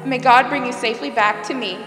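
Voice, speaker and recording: A woman's voice: a little high, a little fast, lightly acted. A teenage girl playing an anxious soldier's wife and mother in a 1940s radio play. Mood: pleading. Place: theater stage. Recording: echoey hall with music behind.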